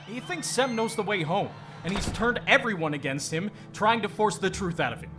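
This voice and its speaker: A man's voice: sits high, lively intonation, moderate pace, bright, forceful tone, faint music behind, pitched above the performer's own voice. A man voicing an anxious, blunt young male friend in an audiobook. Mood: alarmed. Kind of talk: audiobook character acting.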